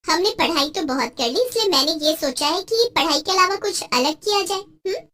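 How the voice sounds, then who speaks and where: A protective cartoon schoolteacher, voiced by a woman, with a very high, bright voice. She speaks as if to a class of children. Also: lively intonation, moderate pace, full character voice. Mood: cheerful. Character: cheerful female schoolteacher, protective of her pupils. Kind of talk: cartoon story voice.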